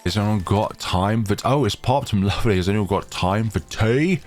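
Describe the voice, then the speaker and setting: A young man chatting casually over his gameplay in a rambling, self-deprecating way, his voice still deeper than usual as he recovers from a chest infection, recorded on a home computer microphone. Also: moderate pace, darkish tone. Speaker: gaming channel host.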